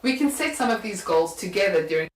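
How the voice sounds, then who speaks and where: A woman's voice: mid-range voice, lively intonation, fast, slight background noise. A woman from South Africa with a South African accent, introducing herself as an English teacher in a polite, rehearsed way. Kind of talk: tutor intro video. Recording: roomy echo.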